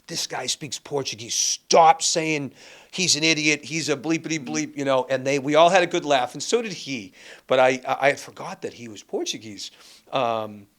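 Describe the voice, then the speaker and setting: A man from Massachusetts, a guest and former school athletics director, telling animated stories in a casual chat with many restarts. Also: mid-range voice, moderate pace.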